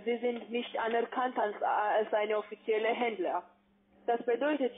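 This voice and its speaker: A woman with a foreign accent, speaking a second language hesitantly as she explains a sales pitch over the phone, often adding questioning checks. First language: not German, speaks it as a second language. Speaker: call-centre caller posing as financial adviser. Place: phone call. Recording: tinny narrow-band phone line.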